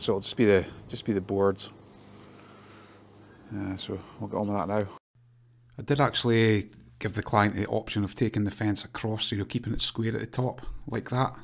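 A man's voice: fairly low pitch, gravelly, dry recording. A man, a fencing contractor, filming himself as he walks through a job and explains it in plain, practical terms with many ums, outdoors in a garden. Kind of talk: casual work vlog walkthrough.

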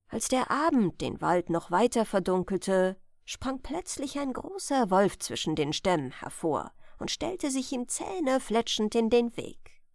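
Expressive woman narrator with lively intonation. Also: mid-range voice, creaky, no echo, studio quality. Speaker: audiobook reader. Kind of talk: bedtime fairy-tale narration.

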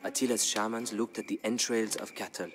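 An actor as a low, solemn male storyteller over music. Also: steady tone, a bit bright, close to an everyday voice. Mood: measured. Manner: measured, dramatic, deliberate. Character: solemn male storyteller recounting grim war history. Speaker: narrator. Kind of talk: scripted storybook narration. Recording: narration over background music.

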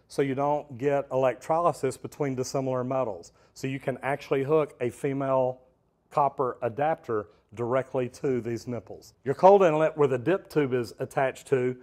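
A man's voice: mid-range voice, normal speed, darkish tone. A middle-aged man, a plumber, giving a hands-on instructional demonstration in a metal-walled storage unit, talking practically through a lapel mic. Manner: plain-spoken, practical, addresses viewers as "guys".

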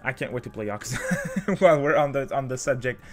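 Soft-spoken male podcast host. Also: medium pitch, animated, a little fast, soft background music. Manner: soft-spoken, hesitant, with frequent fillers. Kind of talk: casual gaming chat.

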